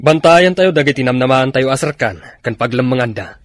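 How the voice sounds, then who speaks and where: An actor. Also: male voice, medium pitch, lively intonation, normal speed, natural voice. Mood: commanding. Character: stern male military commander on watch. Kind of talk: radio drama acting.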